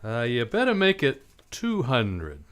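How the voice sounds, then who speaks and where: A man's voice: medium pitch, huge pitch swings, unhurried, fairly bright tone, slight background noise. Guy playing a cynical wisecracking detective in old time radio, mid voice that moves around a lot, clipped and wry. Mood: cool, wry. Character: hard-boiled, cynical, wisecracking male private detective.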